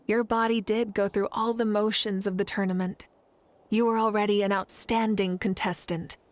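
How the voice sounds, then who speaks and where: A woman's voice: mid-range voice, flat delivery, moderate pace, lightly acted. A woman voicing a confident, regal female ruler in a video game, speaking in a commanding way. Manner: commanding, laughs easily. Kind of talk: game voice acting.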